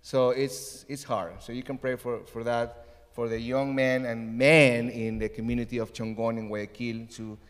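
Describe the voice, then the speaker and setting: A middle-aged man from Ecuador, a missionary giving an earnest church update with many pauses and fillers. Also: medium pitch, steady tone, long reverb tail.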